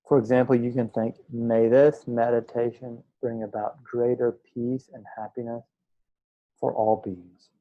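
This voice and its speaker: A man guides a meditation as a teacher would, in a middle-pitched voice. He gives instructions slowly, in short phrases separated by pauses. The recording is dull and lo-fi. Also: level tone. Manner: unhurried, pausing between short phrases.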